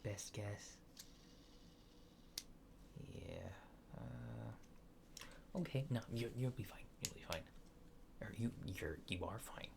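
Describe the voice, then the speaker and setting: A man performs an ASMR exam roleplay in a soft, hushed voice with a gravelly creak. He murmurs slowly and hesitantly, slipping toward a whisper at times. Clear background noise sits behind him. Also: fairly low pitch, lively intonation. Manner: murmured, hesitant, unhurried short instructions. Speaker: ASMR performer playing an examiner.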